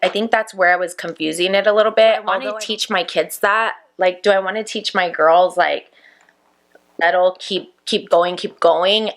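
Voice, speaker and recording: A woman's voice: fairly low pitch, fairly even intonation, normal speed, fairly bright tone. A woman in her thirties, a makeup business owner, chatting casually to camera and reflecting aloud, with plenty of "like" fillers. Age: 30s. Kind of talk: casual chat to camera while doing makeup.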